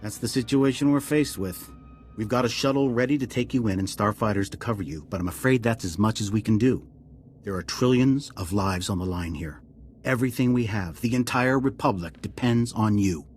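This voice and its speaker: An actor playing a grave, urgent military intelligence director in a video game, briefing in a clipped, earnest way. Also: male voice, lowish voice, fairly quick, darkish tone, lightly acted. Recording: dramatic music underneath.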